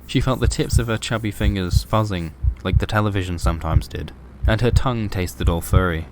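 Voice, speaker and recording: A man's voice: lowish voice, fairly quick, background noise. A man reading a scripted, surreal horror story as its narrator.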